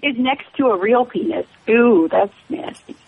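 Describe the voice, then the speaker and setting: A woman's voice: fairly high pitch, expressive, normal speed, narrow, tinny audio, a little hiss or hum. A woman in her late twenties joining a casual radio talk show, making playful, teasing remarks. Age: 20s.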